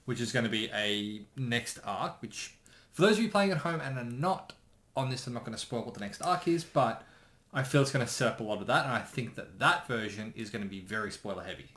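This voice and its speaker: A man's voice: mid-range voice, animated, fairly quick, a bit bright. Man thinking aloud in a roomy space. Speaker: co-host, anime specialist. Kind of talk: casual reaction chat, speculation. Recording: roomy, echoey sound.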